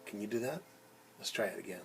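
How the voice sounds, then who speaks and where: Animated man telling a children's story. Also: fairly low pitch, creaky, over loud music, low-quality recording. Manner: theatrical, acts out shouts, whispers and sound words; pauses to explain words simply and invite joining in. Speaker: teacher reading a story to children. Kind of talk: animated children's storytelling with singing and word explanations.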